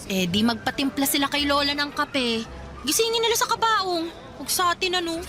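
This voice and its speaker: A woman's voice: sits high, brisk, pushed and bright, soft background music, some chatter behind. An actress playing a cheeky, giggly young woman with a Filipino accent in a radio drama, teasing and exclaiming playfully. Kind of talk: Tagalog radio drama acting.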